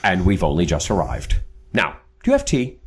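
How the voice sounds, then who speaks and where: Male actor, audio drama mission leader, formal, measured, punctual, deep pitch, lively intonation. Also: slightly rough, heavily acted. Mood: calm, assured. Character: self-assured, punctual male leader of secret agents.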